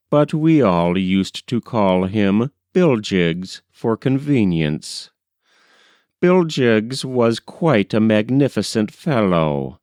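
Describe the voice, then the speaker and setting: A man narrates a classic novel in a fairly low, smooth voice. His delivery is very unhurried, with measured and deliberate phrasing, like a careful audiobook reader. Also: darkish tone, no room sound, studio quality. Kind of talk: scripted narration of a 19th-century novel.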